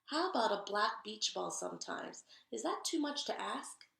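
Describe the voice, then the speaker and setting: A woman's voice: fairly high pitch, sing-song, normal speed, gravelly, a bit bright, reverberant, exaggerated voice. A woman voicing a resentful storybook crayon with an indignant manner.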